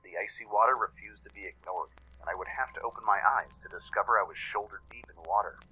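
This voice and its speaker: A man's voice: on the low side, fast, bright, forceful tone, slightly rough, lo-fi sound. A man narrating a radio play in a dramatic, scripted storytelling style. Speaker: narrator and producer. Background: music.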